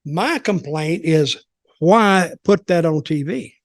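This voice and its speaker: A man's voice: a little high, lively intonation, slow pace, dry recording, clean studio sound. An older man in casual, rambling, opinionated talk about wrestling as a podcast commentator.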